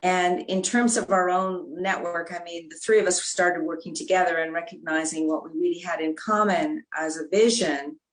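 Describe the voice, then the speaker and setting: Earnest woman with a low voice. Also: steady tone, moderate pace, hardly any pauses, a little hiss or hum, low-quality recording. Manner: earnest, thoughtful, speaks in long flowing sentences. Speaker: panel speaker. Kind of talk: reflective panel talk.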